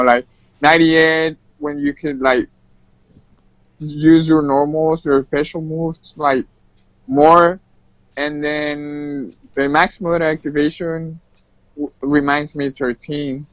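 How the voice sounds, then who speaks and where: A man's voice: on the high side, fairly bright tone, no echo, lo-fi sound. A man who speaks English as a second language, giving casual answers as a guest player in a gaming interview. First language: not English. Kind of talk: casual interview answers about a fighting game.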